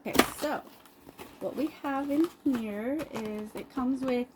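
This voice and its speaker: A woman giving a casual, improvised unboxing review, pausing mid-sentence in an appreciative, unhurried way. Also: high-pitched. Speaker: product reviewer. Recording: clearly noisy background ambience.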